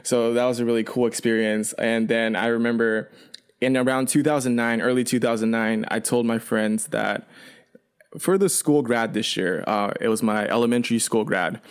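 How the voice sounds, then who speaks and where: A man in his twenties, a native Chinese speaker raised in Canada, telling his story as a podcast guest in a relaxed, rambling way. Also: medium pitch, normal speed, clean tone. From China, raised in Canada. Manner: relaxed, rambling, frequent fillers and restarts. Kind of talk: casual storytelling.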